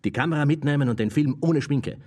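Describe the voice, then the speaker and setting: A man's voice: on the high side, quick pace, darkish tone, dry recording, lightly acted. A man playing a stubborn, pleading mountain film maker and storyteller in a German radio play. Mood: eager, insistent. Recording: distant mic, heavy background noise.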